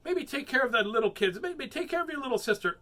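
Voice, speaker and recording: A man voicing a frantic, bossy mother who yells orders during a picture-book reading. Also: extremely high pitch, lively intonation, quick pace, voice pushed up, heavily acted. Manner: yelling, commanding.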